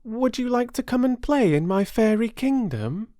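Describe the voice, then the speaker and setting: A sweet little girl from a storybook, acted out by a man, pitched well up from his normal voice. The voice is small and breathy, with a childlike, plaintive delivery and lots of swing in it. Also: lively intonation, normal speed, warm tone, dry recording, studio quality. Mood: cheerful, inviting. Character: sweet little girl, imaginative, easily upset.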